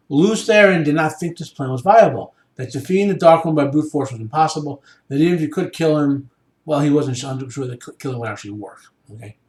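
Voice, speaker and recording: A man's voice: mid-range voice, a little mellow. Man commenting in a very echoey room. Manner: halting, checks in with "okay?". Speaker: podcast host. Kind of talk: informal explanatory commentary.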